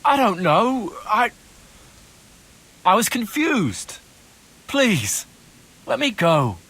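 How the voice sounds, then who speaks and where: A young male mercenary in a game cutscene, captured and resentful. His voice sits a little high and moves very freely in pitch. He argues bitterly and defensively, with a pleading edge. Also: wildly swooping pitch, very slow, sharp tone, husky, exaggerated voice. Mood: frightened, pleading. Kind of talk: video game cutscene acting.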